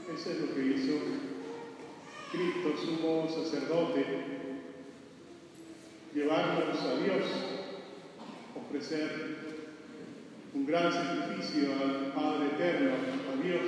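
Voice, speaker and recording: Slow-preaching priest in an echoing church, man. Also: on the high side. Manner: solemn, halting phrases with frequent pauses. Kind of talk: sermon. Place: large church, recorded from the congregation. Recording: echoey church from far off, noisy.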